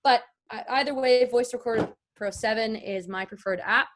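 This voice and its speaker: A woman's voice: on the high side, normal speed, noisy background. A woman giving an informal lesson as a voice-over artist, chatty and explanatory with emphatic points. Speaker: voice-over artist teaching a class. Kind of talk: informal instructional talk. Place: indoors, online video call.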